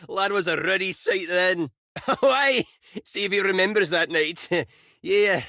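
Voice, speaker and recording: Man putting on a brash, higher-pitched rustic elf woman. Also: takes it slowly, creaky, pushed and bright, no echo, studio quality, exaggerated voice. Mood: chuckling, teasing. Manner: rustic archaic dialect, blunt and teasing. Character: brash, teasing female second soul of an elf wizard.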